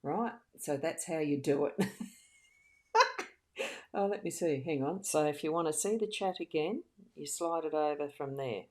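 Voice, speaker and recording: A woman's voice: low voice, normal speed, long pauses, mellow. A woman live streamer and card reader talking casually and thinking aloud in a chatty, giggly, scattered way.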